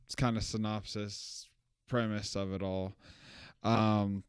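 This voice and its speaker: A man's voice: fairly low pitch, level tone, slow pace, smooth, no echo, clean studio sound. Rambling young man reviewing a film. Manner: rambling, self-correcting, laughs at own jokes. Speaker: podcast co-host. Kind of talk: casual film review chat.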